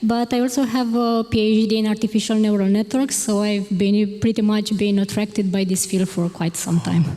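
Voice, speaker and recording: Mellow-voiced woman, measured and hesitant. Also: medium pitch, little pitch movement. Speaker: software architect. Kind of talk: conference self-introduction. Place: large conference auditorium. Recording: long hall reverb.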